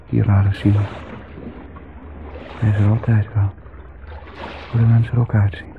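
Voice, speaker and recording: On a tinny, noisy 1970s radio tape, an actor plays a sardonic man of middling pitch. He muses and rambles with dry irony, treating grim matters with fatalistic lightness. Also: natural voice. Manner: wry, musing, ironic. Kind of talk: 1970s radio drama monologue and dialogue.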